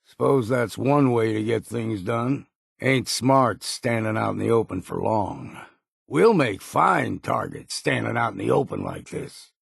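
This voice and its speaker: An actor playing a gruff, weary old hunter in a video game, grumbling and wary in a folksy, plainspoken rustic drawl. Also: male voice, lowish voice, sing-song, unhurried, no echo, studio quality, full character voice. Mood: matter-of-fact. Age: older. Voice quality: gravelly, husky. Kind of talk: video game character voice lines.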